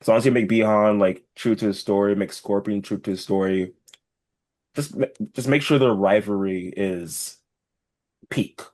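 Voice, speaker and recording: A man's voice: on the low side, moderate pace, a little mellow. A man co-hosting a podcast, chatting informally and emphatically with some mild swearing. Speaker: podcast co-host. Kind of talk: casual chat.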